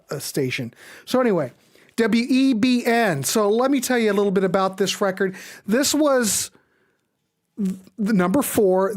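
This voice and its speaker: A man's voice: sits high, a little mellow. A man hosting a live music show in a casual, enthusiastic, rambling and informal way. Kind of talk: casual live stream commentary.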